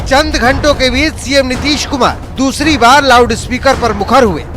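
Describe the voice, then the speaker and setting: A man's voice: very high voice, moderate pace, over music. A man narrating a scripted news report emphatically, as a TV reporter.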